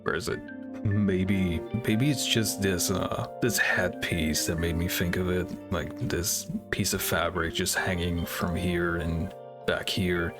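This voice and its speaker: A man's voice: sits low, fairly even intonation, slow. A man hosting a reaction video, commenting casually and hesitantly as he thinks aloud. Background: music.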